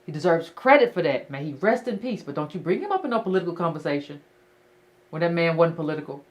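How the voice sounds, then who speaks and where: Blunt emphatic woman with a lively pitch. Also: fast. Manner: blunt, emphatic, repeats points. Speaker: call-in show host. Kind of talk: casual call-in talk.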